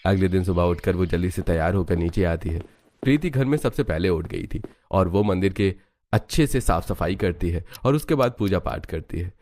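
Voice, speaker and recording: A man's voice: low voice, lively intonation, moderate pace, darkish tone. A man narrating a scripted moral story as its storyteller.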